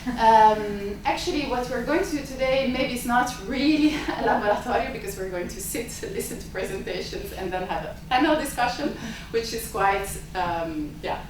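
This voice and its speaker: A woman's voice: a little high, lively intonation, moderate pace, few pauses. Italian-native woman host, hesitant delivery with fillers, recorded from afar in a noisy reverberant seminar room. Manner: hesitant, many filler sounds. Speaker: symposium host and lab director. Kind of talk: opening remarks at an academic event. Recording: echoey lecture room, mic far off.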